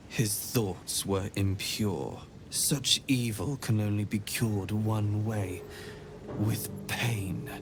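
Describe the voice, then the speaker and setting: An actor voicing a menacing young alien boy in a video game, zealous and wounded, speaking in a cold, moralizing, deliberate way. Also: fairly low pitch.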